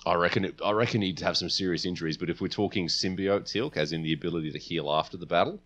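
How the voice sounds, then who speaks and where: A man co-hosting a podcast, casually debating science fiction in a measured, deliberating way. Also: sits low, a bit bright, highs cut off. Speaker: podcast co-host.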